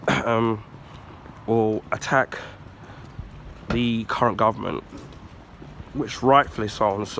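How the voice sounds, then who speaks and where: A man from the UK, husky and bunged up from a cold, rambling through a casual rant. His voice sits a bit low, he clears his throat often, and faint street traffic hums behind him. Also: a bit bright. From United Kingdom. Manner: rambling, many ums and restarts, frequent throat clearing. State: husky, recovering from flu, blocked nose. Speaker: YouTuber.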